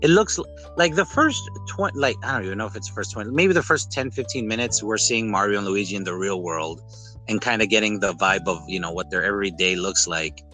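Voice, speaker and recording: Bright-voiced man chatting over guitar music. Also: lowish voice, a little fast. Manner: rambling, animated retellings with acted-out quotes. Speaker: podcast host. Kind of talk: casual chat reviewing a film.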